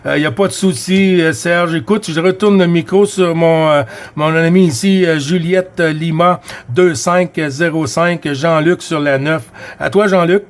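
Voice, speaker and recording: A man's voice: on the high side, steady tone, unhurried, a little hiss or hum. A man with a Quebec French accent chatting casually as a CB radio operator, often repeating himself. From Quebec, Canada. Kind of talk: casual radio contact chat.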